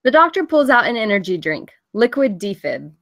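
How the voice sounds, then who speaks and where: A woman's voice: a little high, very animated, normal speed, fairly bright tone. A woman reading the stage directions of a film script as scripted narration at a table read.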